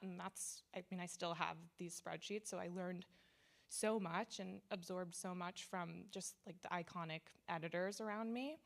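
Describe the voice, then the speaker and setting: Female panelist, interior designer, conversational and loose, with a noticeably gravelly fry. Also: fairly low pitch. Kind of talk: conversational career story at a panel talk.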